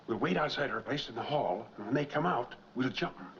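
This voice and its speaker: A man's voice: mid-range voice, animated, fairly quick, a bit bright, natural voice. A man playing an earnest, determined framed man in a 1940s crime film, speaking in a clipped, plain way. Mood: urgent. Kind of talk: 1940s film noir acting. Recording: dull vintage film soundtrack, music behind.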